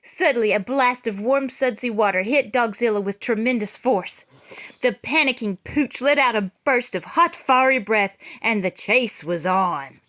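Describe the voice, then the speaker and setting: A woman's voice: fairly high pitch, moderate pace, a bit bright. A woman reading a children's picture book aloud in a dramatic storytelling style with theatrical emphasis. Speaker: children's read-aloud reader.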